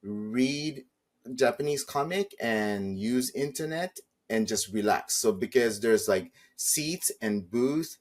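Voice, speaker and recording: A man's voice: mid-range voice, animated, slow. A man from Saipan chatting casually as an interview guest, hesitant and modest, slightly nervous speaking English. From Saipan, Northern Mariana Islands. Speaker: podcast guest, travel vlogger.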